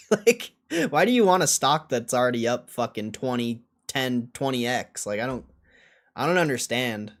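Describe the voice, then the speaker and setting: A man's voice: mid-range voice, lively intonation, moderate pace. A man hosting a livestream in a casual, blunt and dismissive way, swearing now and then as he answers chat. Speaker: livestream host.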